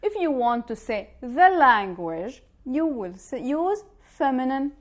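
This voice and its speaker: A woman's voice: high-pitched, big pitch swings, slow pace. A woman with a Levantine Arabic accent, a native Arabic speaker, giving an informal language lesson and explaining through examples. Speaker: language teacher.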